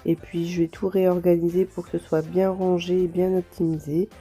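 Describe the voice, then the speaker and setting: A woman with a stuffy nose from a cold, chatting gently to viewers as she tidies her home, with soft music behind. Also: on the low side, flat delivery, normal speed, breathy edge. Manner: gentle, chatty, apologetic asides with light laughs. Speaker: home vlogger. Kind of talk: casual vlog narration.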